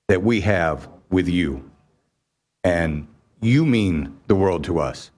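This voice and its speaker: An American man hosting a live radio show, talking to an audience in an effusive, rambling way. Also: deep voice.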